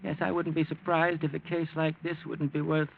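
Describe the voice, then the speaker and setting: A muffled vintage radio recording carries an imperious old patriarch. His creaky voice sits a little high for a man. He is shrewd, irritable and used to being obeyed, in classic 1940s radio drama style. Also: little pitch movement, brisk, a little hiss or hum. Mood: coolly shrewd.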